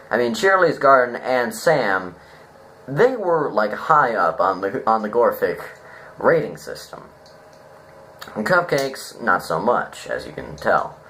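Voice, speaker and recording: A man giving a casual, unscripted review, halting and rambling as he thinks aloud. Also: lowish voice, fairly bright tone, a little hiss or hum, poor audio. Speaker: online story reviewer.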